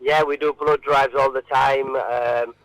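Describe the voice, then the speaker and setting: A man answering informally in a radio interview, halting and often repeating his words. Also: mid-range voice, level tone, fairly bright tone, no echo, poor audio.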